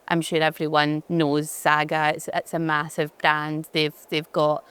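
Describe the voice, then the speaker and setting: A woman from Glasgow with a strong Glaswegian accent, her voice low and smooth. She talks in a chatty, easygoing way, often restarting her sentences, as a guest in a conference fireside chat. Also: monotone, a little slow, a bit bright. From Glasgow, Scotland. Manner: chatty, frequent restarts and fillers. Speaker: head of digital experience, conference guest.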